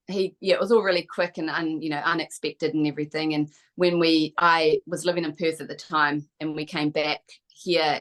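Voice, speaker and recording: A woman's voice: deep voice, poor audio. A middle-aged woman with a New Zealand accent, speaking casually and openly as an interview guest in a chatty, reflective way. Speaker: guest, kinesiologist.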